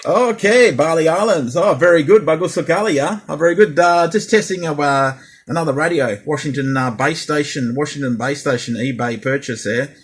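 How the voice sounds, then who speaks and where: A man's voice: fairly high pitch, moderate pace, slightly rough. A man in a casual radio chat as a CB operator, speaking hesitantly and repeating himself. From Australia.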